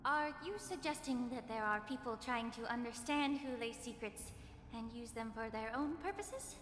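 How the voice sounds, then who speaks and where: A woman playing a poised, coolly resolute game character, speaking formally and deliberately in an echoing space. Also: fairly high pitch, fairly bright tone, a little hiss or hum, lightly acted. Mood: probing, thoughtful. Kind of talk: scripted video game voice acting. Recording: echoey hall reverb over clear music.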